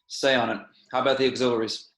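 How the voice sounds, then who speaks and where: A man acts a commanding spaceship captain in a sci-fi script. He speaks in clipped, curt orders at a middle pitch, in a noticeably echoey room. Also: level tone, fast, fairly bright tone, natural voice. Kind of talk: amateur screenplay table read. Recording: roomy, echoing home recording.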